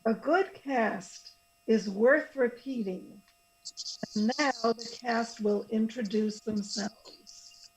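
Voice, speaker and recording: Slow-paced woman presenting a radio play. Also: fairly high pitch, slight background noise, rough recording. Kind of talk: scripted closing announcement.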